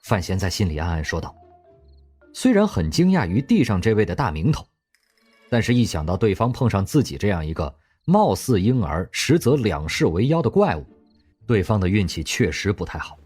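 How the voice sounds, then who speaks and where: A low-voiced man narrates an audio drama, his pitch rising and falling freely as he tells the story with feeling. Soft music plays behind him, with crickets chirping. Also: normal speed, slightly rough. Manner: expressive storytelling.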